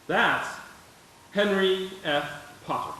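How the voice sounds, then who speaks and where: A man playing a calm, knowing guide who narrates a life story in a measured way in a live radio play. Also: high-pitched, a little hiss or hum, exaggerated voice. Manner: measured, explanatory. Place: stage of a live theater performance. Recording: echoey stage, distant mic, faint music.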